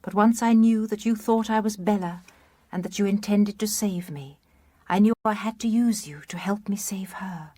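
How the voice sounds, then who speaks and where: An actress playing a weary, remorseful young woman reading out her confession in a radio drama, soft and breathy. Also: medium pitch, normal speed, dry recording, lightly acted.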